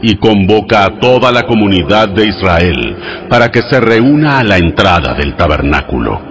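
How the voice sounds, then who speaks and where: An actor voicing God as a solemn, commanding lawgiver, speaking in a measured, authoritative way in a dramatized audio Bible. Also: male voice, on the low side, very animated, a bit bright.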